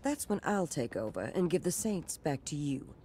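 An actor playing a shrewd, folksy woman executive with cool menace and a measured delivery in a video game cutscene. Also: deep voice, big pitch swings, dark tone, slight background noise. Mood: sly, persuasive. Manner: measured, folksy turns of phrase.

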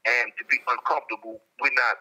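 Male interview guest over telephone, strikingly sharp tone, mid pitch, explanatory and emphatic with repeated phrases. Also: fairly even intonation, brisk. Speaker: interviewed guest, prison inmate calling. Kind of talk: reflective, explanatory conversation. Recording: telephone line from prison.

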